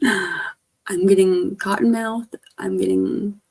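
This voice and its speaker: A woman livestreamer, hoarse, chatting casually and rambling to her viewers, often trailing off. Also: medium pitch, steady tone, slow. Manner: rambling, trailing off, soft-spoken.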